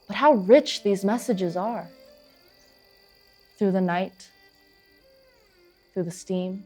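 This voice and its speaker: An actress playing a young, proud eagle huntress in an earnest, intense dramatic monologue. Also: female voice, medium pitch, slow pace, warm tone, soft background music. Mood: quiet, reflective. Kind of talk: dramatic stage monologue, vivid storytelling.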